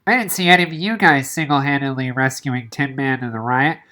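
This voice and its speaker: A self-important schoolboy from a children's book, acted out by a woman reader. He is literal-minded and defensive, with a bright, pedantic delivery. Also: extremely low pitch, fairly quick. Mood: indignant.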